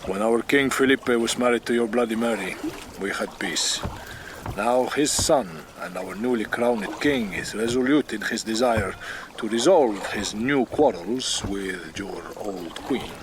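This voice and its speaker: A man acts a Spanish-accented ambassador in a period radio drama. His voice is fairly low and he speaks with courtly, deliberate persuasion. Noisy water ambience sits behind him. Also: lightly acted. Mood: persuasive.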